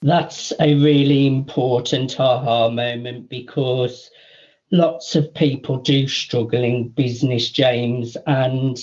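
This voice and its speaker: A male radio host speaks slowly and deliberately in a medium-pitched voice. He introduces guests and asks questions, full of praise. The recording sounds slightly dull. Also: fairly even intonation, darkish tone. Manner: slow, deliberate, enthusiastic praise. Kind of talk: radio interview hosting.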